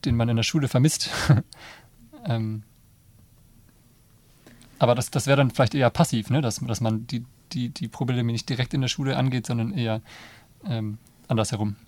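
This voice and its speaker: A man's voice: mid-range voice, fairly even intonation, moderate pace, long pauses, darkish tone. A man in a casual discussion who sounds tentative and thinks aloud with many restarts. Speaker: discussion participant.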